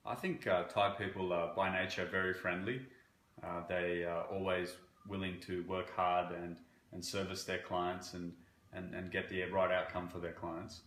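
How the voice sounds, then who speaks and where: A man from Croatia working as a banking relationship manager, answering interview questions in a halting way with many fillers. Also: low voice, little pitch movement, a bit bright, reverberant. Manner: halting, with frequent fillers and restarts.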